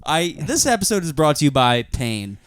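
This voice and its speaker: A man's voice: on the high side, very animated, moderate pace, a bit bright, clear, resonant tone, no echo. A man in his late twenties co-hosting a comedy podcast, laughing and protesting good-naturedly as he is teased. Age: 20s. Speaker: podcast co-host. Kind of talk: casual comedic banter.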